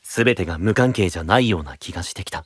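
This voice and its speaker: An actor playing a calm, gentle, secretive young knight, speaking in a soft, measured, reflective way in an anime-style audio drama. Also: male voice, low voice, breathy edge, no echo.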